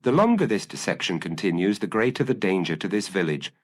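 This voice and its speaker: A commanding master detective, acted out by a male storyteller, low in voice but swinging keenly upward in pitch. He delivers crisp, formal deductions with calm authority, in the style of an audio mystery. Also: a little fast. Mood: grave, decisive.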